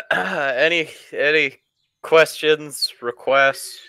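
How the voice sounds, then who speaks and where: A man streams casual stories for his friends and chat in a very clear, smooth voice. He takes his time and swears freely in a crude way. The sound is dry and close to the microphone. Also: a little high, steady tone, drawn-out words, sharp tone, no room sound.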